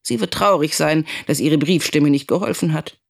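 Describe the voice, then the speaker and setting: A woman's voice: deep voice, normal speed, gravelly. A woman playing an anxious, angry middle-aged mother who broods in a dramatic monologue. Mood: tense, resentful. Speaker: actress in a radio drama. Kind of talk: dramatic first-person monologue.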